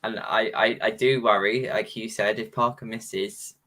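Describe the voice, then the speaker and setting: A man's voice: lowish voice, fairly even intonation, measured pace, pushed and bright. A man co-hosting a casual prediction show, thinking aloud in a hesitant way. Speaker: show co-host. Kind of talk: casual chat about match predictions.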